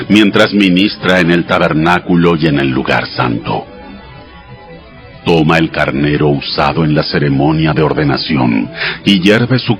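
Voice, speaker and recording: A man playing God as a solemn, commanding male deity, delivering measured commands in a dramatized audio scripture. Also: very deep voice, a bit bright, exaggerated voice. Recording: music behind the voice. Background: music.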